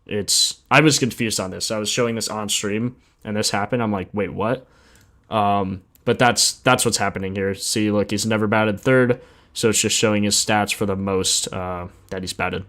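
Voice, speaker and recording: Young male sports betting streamer, lower-pitched, informal and slangy, off-the-cuff commentary. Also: steady tone, moderate pace, fairly bright tone. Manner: slangy, informal, thinking aloud. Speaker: sports betting livestream host. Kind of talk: casual livestream chat and commentary.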